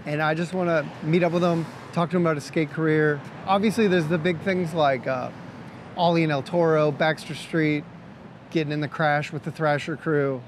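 A man hosting a skate documentary show, introducing the episode in a relaxed, rambling way. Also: fairly high pitch, moderate pace, slight background noise. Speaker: show host. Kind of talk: casual spoken show intro. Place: restaurant.

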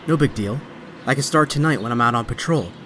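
An actor playing a young, wisecracking but stressed superhero in a comic dub, with a sardonic, conversational delivery. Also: male voice, mid-range voice, brisk, fairly bright tone, slight background noise, lightly acted. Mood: reassuring. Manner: sardonic, conversational inner monologue. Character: young male superhero, wisecracking yet stressed and self-doubting. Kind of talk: dramatic comic dub acting.

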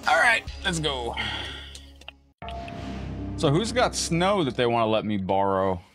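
A man hosting a live gaming stream, chatting loosely with viewers and rambling between thoughts, his throat going hoarse. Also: mid-range voice, wildly swooping pitch, measured pace. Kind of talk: casual live-stream chat while gaming. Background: loud game music.

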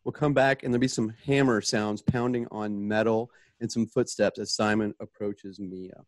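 Low-voiced man narrating a radio play. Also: fairly even intonation, normal speed, no room sound. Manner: conversational. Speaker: narrator and host. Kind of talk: informal narration of radio play stage directions.

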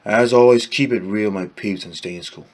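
A young man vlogging to camera from a small bedroom, slowly and plainly retelling events on a close webcam. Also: lowish voice, very noisy. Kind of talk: casual vlog to camera.

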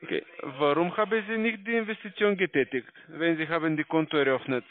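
A man with a foreign accent speaks in a high voice over a tinny phone line with no room sound. He recites a sales pitch haltingly, as a non-native speaker. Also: no room sound. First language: not German. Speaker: call-centre salesman.

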